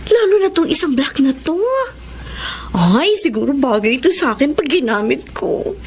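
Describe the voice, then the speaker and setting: A woman's voice: high voice, big pitch swings, moderate pace, a little mellow, slight background noise. A woman playing an earnest, proud young saleslady in a radio drama, with a Filipino accent. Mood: wistful. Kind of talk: Filipino radio drama acting and first-person narration. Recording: music under the voice.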